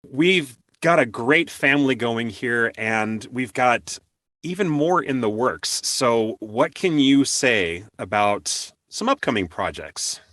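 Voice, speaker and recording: A man's voice: medium pitch, lively intonation, slow pace, fairly bright tone, no echo, clean studio sound. An American man hosting an interview show in a casual, affable and appreciative way.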